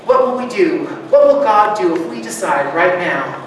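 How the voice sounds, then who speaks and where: A man preaching a sermon as pastor, moving between loud rousing calls and soft hushed reflection, joking easily with his congregation. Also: high-pitched, expressive, moderate pace. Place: church sanctuary. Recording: distant mic, strong room echo, background noise.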